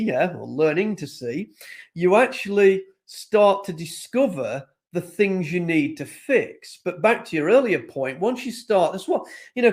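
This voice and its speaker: A man speaks as a panelist, explaining his views with animated emphasis and often repeating phrases. His voice sits a touch above the usual male range. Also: fairly high pitch. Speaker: panelist, consultant to executives. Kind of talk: panel discussion.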